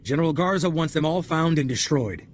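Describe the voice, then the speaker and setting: A by-the-book army corporal , played by a man, delivers a game cutscene briefing in a formal, clipped manner. His fairly high voice swings up and down with lively intonation. He keeps tacking a respectful "sir" onto his reports. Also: brisk, slight background noise, natural voice. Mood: earnest. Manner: formal, clipped, adds sir to every point. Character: dutiful male military corporal giving a briefing. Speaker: briefing officer. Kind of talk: video game cutscene voice acting.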